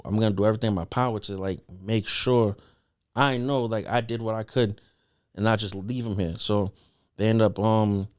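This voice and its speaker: A man's voice: on the low side, fairly even intonation, normal speed, darkish tone. A young man telling a personal story as a podcast guest in a casual, slangy, earnest conversational way.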